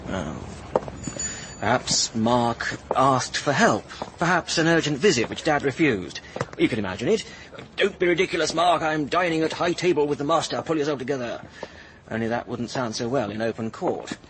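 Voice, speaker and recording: A man's voice: medium pitch, expressive, moderate pace, background noise, natural voice. An actor playing a clever, cynical young Englishman who argues in an articulate, sardonic manner in a radio drama. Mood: mock pompous.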